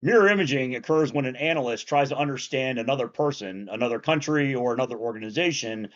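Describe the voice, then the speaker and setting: Earnest guy from south central pennsylvania, measured reflective commentary, kinda muffled audio. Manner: earnest, measured, trails off low at line ends. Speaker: commentator on law and politics.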